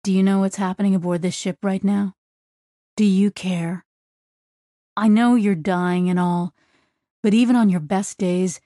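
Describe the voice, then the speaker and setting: A woman voicing a sarcastic, gruff male crewman on a starship. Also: mid-range voice, mellow, no room sound, natural voice. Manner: dry, sarcastic.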